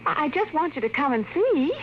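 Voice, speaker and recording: A woman's voice: high voice, very animated, normal speed, slight background noise. An actress playing an uneasy suburban wife and mother, worried and insistent, in a 1950s radio drama. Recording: muffled old radio broadcast with music.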